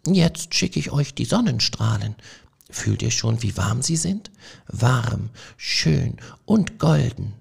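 Ein Mann, der eine sanfte, verträumte männliche Feldmaus spricht, ruhig und bescheiden. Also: male voice, medium pitch, unhurried, creaky, husky, voice pushed up, heavily acted. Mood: reciting. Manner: soft, calm and modest. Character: gentle dreamy male field mouse poet.

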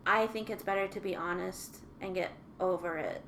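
A young woman co-hosting a podcast in casual chat, earnest and soft-spoken. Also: fairly low pitch, fairly even intonation, moderate pace. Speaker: podcast co-host. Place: small room, mic on table. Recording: faint background noise.

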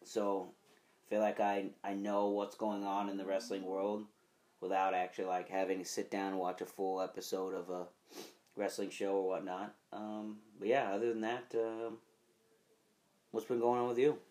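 Laid-back low-voiced man on a podcast. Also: little pitch movement, moderate pace, a little mellow. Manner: laid-back, hesitant, lots of "um" and "like". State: lingering dry cough, stuffy. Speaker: podcast co-host. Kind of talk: casual podcast chat.

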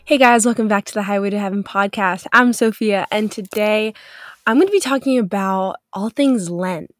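A woman's voice: mid-range voice, moderate pace, no room sound, clean studio sound. A young woman hosting a faith podcast, chatting upbeat and loose with many fillers, her voice stuffy from a cold. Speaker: podcast host. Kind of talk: casual faith podcast chat.

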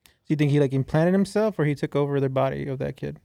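A man's voice: fairly high pitch, fast talker, gravelly, warm tone. A man co-hosting a casual podcast chat, rambling with frequent swearing and laughs, from the southern United States.

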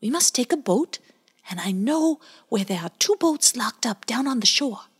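A woman's voice: a little high, lively intonation, moderate pace, husky, pitched above the performer's own voice. A woman voicing a baby male otter in a storybook, eager and earnest.

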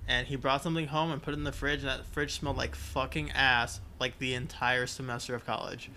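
A young man co-hosting a casual podcast, cracking jokes and tossing in quick, crude remarks. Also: medium pitch, flat delivery, bright, forceful tone, a little hiss or hum. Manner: joking, quick interjections, crude. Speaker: podcast co-host.

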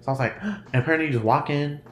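A man's voice: a little high, expressive, racing. A man with a nasal voice hosting a casual solo podcast, rambling and laughing often. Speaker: podcast host. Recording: roomy echo, faint noise.

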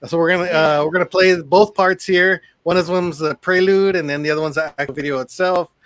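A man's voice: high-pitched, fairly even intonation, fairly quick, fairly bright tone, no room sound. A man hosting a podcast, upbeat and conversational as he introduces a music video. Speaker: show host.

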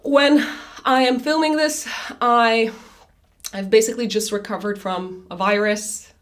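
A woman astrologer giving a monthly horoscope forecast to camera, her voice raspy and hoarse, talking in a chatty way with many fillers and restarts and fondly addressing viewers. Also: fairly high pitch, fairly even intonation, unhurried.

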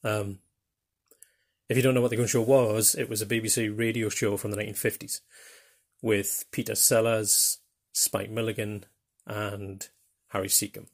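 Gravelly Geordie man, older podcaster. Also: fairly low pitch, level tone, moderate pace, long pauses. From northeast England. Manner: rambling, self-deprecating, many fillers. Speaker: podcast host. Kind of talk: casual monologue.

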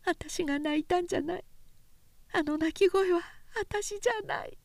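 A woman's voice: very high-pitched, normal speed, creaky, no echo, voice pushed up. A woman reading a story, voicing an anguished, drunk young woman in a thin, wavering, old-fashioned feminine voice. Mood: bitter despair.

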